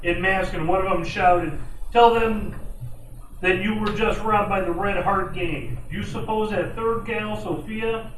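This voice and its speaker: A man's voice: high voice, unhurried, a bit bright. A man playing a no-nonsense, commanding police chief in an amateur old time radio detective drama. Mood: stern. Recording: echoey, distant room with faint noise.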